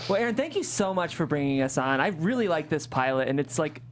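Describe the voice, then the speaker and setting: Fast-talking, animated male host. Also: a little high, a little hiss or hum. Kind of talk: casual panel show hosting.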